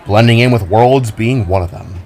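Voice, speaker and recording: A man voicing a calm, formal male alien warrior in a homemade audio drama. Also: lowish voice, moderate pace. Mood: explaining.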